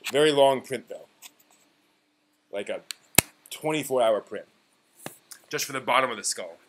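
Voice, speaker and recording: A man's voice: mid-range voice, animated, measured pace. Man chatting in an echoey room. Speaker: live stream host. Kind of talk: casual live stream chat, replying to viewers. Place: indoor room at home with printer running. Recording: roomy echo, faint buzzing 3D printer noise. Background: faint buzz of a 3D printer.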